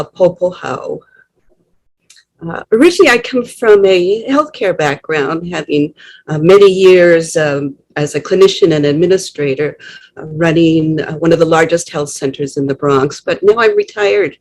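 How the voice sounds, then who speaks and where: An older woman introducing herself at a town hall over a video call, speaking gently and hesitantly with many pauses. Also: lowish voice. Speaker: dance group co-leader.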